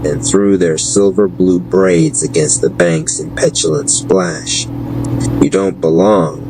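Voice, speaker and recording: A man narrating a scripted dark fantasy audio drama in a solemn, deliberate, florid storytelling manner, with a gravelly, creaky voice. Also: low voice, steady tone. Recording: clearly noisy background.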